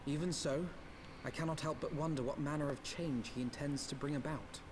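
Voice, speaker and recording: An actor playing an articulate, skeptical young scholar in a fantasy video game, with formal, precise speech. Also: male voice, a little high, fairly even intonation, fairly quick, background noise, lightly acted. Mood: reflective. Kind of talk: dramatic video game voice acting.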